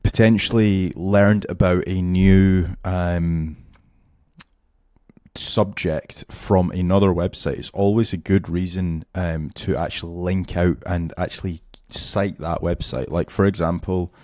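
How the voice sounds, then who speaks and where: A low, mellow-toned man walks through a screen-share tutorial in a loose, chatty way, leaning on "um" and "right?". His voice is a little hoarse from losing it. The sound is dry and close. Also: steady tone, measured pace, no echo. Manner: loose, filler-heavy, keeps checking in with "right?". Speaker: SEO tutor. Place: at home.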